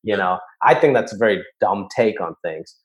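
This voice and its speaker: A man from Hong Kong, a guest comedian, telling stories in a relaxed, conversational way and dropping his voice for asides. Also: on the low side, moderate pace. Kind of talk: casual podcast chat, storytelling.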